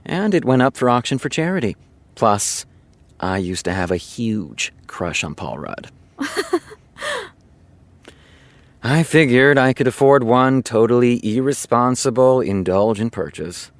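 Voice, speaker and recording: A man playing an easygoing, earnest TV showrunner in an audio drama, confiding in casual storytelling with dramatic pauses. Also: mid-range voice, very animated, no echo, natural voice. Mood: casual host. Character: easygoing male TV showrunner, chatty earnest raconteur. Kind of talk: audio drama acting, naturalistic dialogue.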